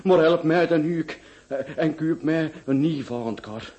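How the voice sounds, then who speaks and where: An actor playing a poor, timid drunken apple peddler, stammering and pleading in a radio play. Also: male voice, on the high side, unhurried, a little mellow, dull audio, close to an everyday voice. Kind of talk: radio drama acting.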